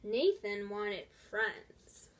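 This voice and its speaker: A woman reading a children's storybook aloud in a deliberate, expressive way. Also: medium pitch, low-quality recording. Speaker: storybook reader. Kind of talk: scripted children's story narration.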